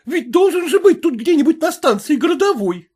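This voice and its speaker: A man voicing a fussy, frightened gentleman's wife with a shrill voice. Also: very animated, fairly quick. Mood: anxious.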